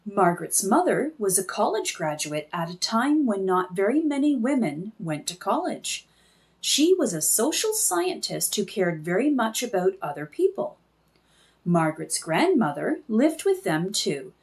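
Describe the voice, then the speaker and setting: A woman reading a children's book aloud in an expressive storytelling style. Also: mid-range voice, measured pace.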